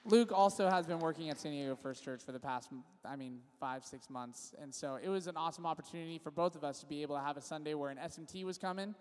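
A man's voice: on the high side, fast talker, hardly any pauses, darkish tone. Young man speaking in a reverberant church. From California. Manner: casual, friendly, loose with restarts. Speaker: worship leader. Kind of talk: church service intro and spoken prayer. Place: church sanctuary. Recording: long reverb of a large church hall.

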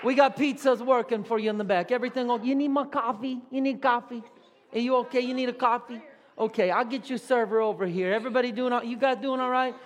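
Comic skit role: man playing a harried Bronx pizzeria owner, high-pitched, rambling, heavy New York accent. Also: fairly even intonation, quick pace. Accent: put-on New York Bronx. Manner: harried, rambling, pushy with the crowd. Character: frantic male Italian-born Bronx pizzeria owner. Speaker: skit performer. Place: church sanctuary. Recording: long church reverb.

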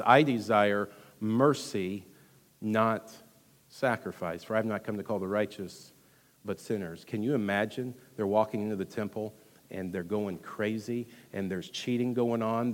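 A man with a Texan accent preaching a sermon as pastor, rushing through some lines and dropping to hushed asides between louder, emphatic ones. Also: fairly low pitch. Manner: animated preaching, swings from loud emphasis to hushed asides, quick delivery. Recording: long hall reverb.

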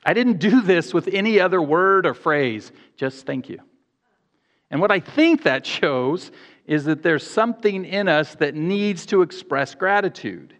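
A man preaching a sermon to a congregation in an earnest, measured way, pausing and correcting himself as he goes. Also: high voice, animated, fairly bright tone, long reverb tail. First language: English. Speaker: pastor.

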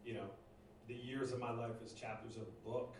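A man from Northeast Philadelphia giving a halting personal testimony to a church congregation, full of fillers and restarts. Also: mid-range voice, steady tone, darkish tone. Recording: echoey room, distant mic, faint noise.

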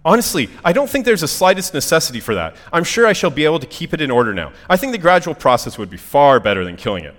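A man's voice: on the high side, sing-song, fast talker, hardly any pauses, a bit bright, in a large hall. A man playing a cowardly, flustered ghost who whines and makes polite, nervous excuses. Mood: flustered, apologetic. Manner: flustered, apologetic, whimpering, polite English phrasing. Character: anxious, cowardly, whining male ghost, full of excuses.

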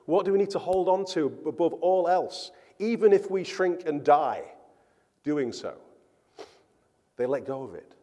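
A man's voice: a little high, expressive, unhurried, warm tone. A man preaching a sermon as a pastor, earnest and emphatic, often repeating words for stress. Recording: church hall with long reverb.

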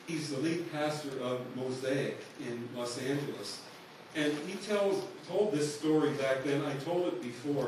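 A man preaching a sermon, telling a story in an earnest, conversational way to his congregation. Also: mid-range voice. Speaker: pastor. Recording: echoey hall, voice from far off, noisy.

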